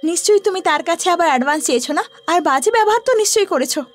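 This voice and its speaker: Casting: woman as sharp-tongued cartoon village wife, high-pitched, fast, scolding. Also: animated, soft background music. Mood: angry. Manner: scolding, rapid-fire. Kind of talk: animated horror story voice acting.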